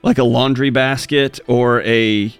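A man's voice: medium pitch, fairly even intonation, unhurried, smooth, dry recording, soft background music. A man co-hosting a talk show, speaking earnestly in casual conversation with frequent restarts. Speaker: co-host.

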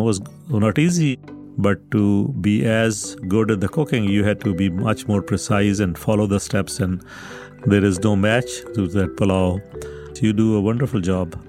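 A father speaking earnestly and approvingly about his son. His voice is somewhat low, with soft guitar music in the background. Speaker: father of the reporter. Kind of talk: interview answer. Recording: faint guitar music behind.

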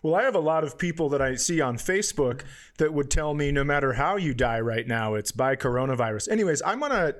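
A man's voice: fairly high pitch, fairly quick. A man co-hosting a podcast, telling an everyday story casually, with swearing and quoted dialogue. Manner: profane, animated retelling with quoted dialogue. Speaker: podcast co-host.

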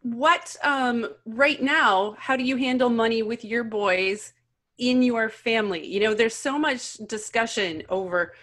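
A woman's voice: a little high, a little slow, a bit bright. A woman co-hosting a podcast, a mother of teenagers who asks thoughtful, rambling questions. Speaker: podcast co-host. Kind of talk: podcast interview.

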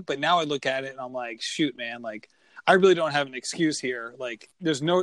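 A man's voice: on the high side, a bit bright, no room sound. Rambling podcast host man. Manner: rambling, lots of "like", enthusiastic. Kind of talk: casual interview chat.

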